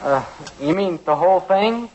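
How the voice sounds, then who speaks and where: A man's voice: sits high, expressive, normal speed, heavy background noise. A man playing a brash, bumbling American teenage son in 1950s radio acting style, exasperated and slangy. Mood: sheepish. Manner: exasperated, slangy, hesitant when cornered. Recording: dull vintage 1950s radio recording.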